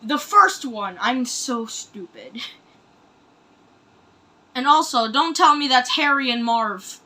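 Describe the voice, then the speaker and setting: High, bright-voiced woman reacting excitedly. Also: takes it slowly. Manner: excitable, exclamatory, rambling. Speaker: reaction video host. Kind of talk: casual reaction commentary.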